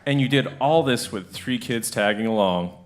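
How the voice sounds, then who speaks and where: A man's voice: mid-range voice, moderate pace, a crowd in the background. A man narrating a comic radio drama in the second person, playful and wry.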